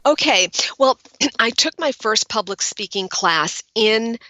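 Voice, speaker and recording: Bright-voiced woman, crisp and articulate. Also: fairly high pitch, expressive, slow pace, dry recording, low-quality recording. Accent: General American. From Brooklyn, New York. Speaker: executive speech coach guest. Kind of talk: podcast interview.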